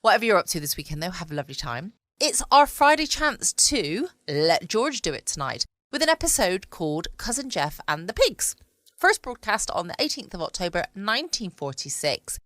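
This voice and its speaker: A woman with a southern English accent chatting in a relaxed bedtime-podcast style as host, recorded at home. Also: mid-range voice, normal speed, no room sound. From United Kingdom. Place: at home, quiet room.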